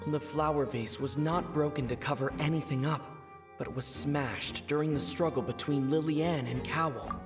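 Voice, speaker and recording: A man voicing a calm, analytical investigator in a video game, explaining in a deliberate way. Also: on the high side, lightly acted. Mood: deliberate, serious. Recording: long hall reverb with music.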